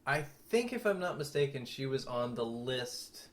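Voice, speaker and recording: A man co-hosting a podcast, talking through film history conversationally in a measured, explanatory and thoughtful way. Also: mid-range voice, lively intonation, fairly bright tone. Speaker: podcast co-host.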